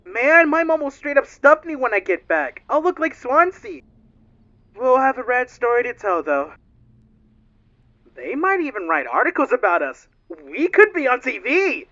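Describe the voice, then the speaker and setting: A woman's voice: sits high, sing-song, normal speed, a bit bright, muffled recording. A woman playing an upbeat, naive, slangy young male crew rookie in a fan-dubbed space game. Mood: excited. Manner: breezy, slangy, boyish. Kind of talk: dramatic fan dub of a video game.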